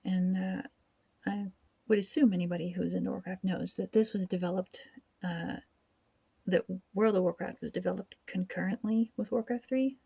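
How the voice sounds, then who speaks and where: A woman's voice: mid-range voice, steady tone, normal speed, creaky, mellow, breathy edge, dry recording. A woman hosting a gaming video with casual, chatty, informal commentary. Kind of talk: casual let's play commentary. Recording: faint background noise, game ambience.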